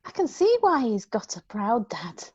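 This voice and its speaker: Brief: a woman reading a polite, flustered hostess, bouncy high voice, lo-fi sound. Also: big pitch swings, moderate pace, husky. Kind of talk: amateur online play reading.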